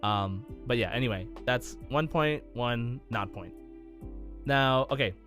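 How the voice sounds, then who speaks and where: A man with an average-pitched voice hosts a podcast quiz. He reads questions out and slips into casual banter, with light music underneath. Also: measured pace, a bit bright. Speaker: podcast co-host running a quiz.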